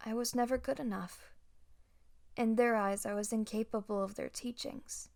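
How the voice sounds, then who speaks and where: A young woman playing a gentle, self-doubting Padawan on the run in an audio roleplay, speaking softly and hesitantly with halting pauses. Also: on the high side, fairly even intonation, vocal fry. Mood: sad, self-doubting. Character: timid, grieving young female Jedi Padawan fugitive, self-doubting and gentle. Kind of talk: audio roleplay acting, intimate ASMR-style monologue.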